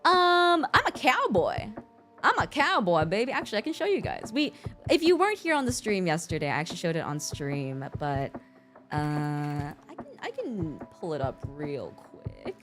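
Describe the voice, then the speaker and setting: Casual livestreamer: young woman, American accent, Mandarin first language, lively swooping pitch, chatty and giggly, faint music underneath. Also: normal speed. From born in China, raised in the US from about age six. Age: young adult. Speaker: streamer.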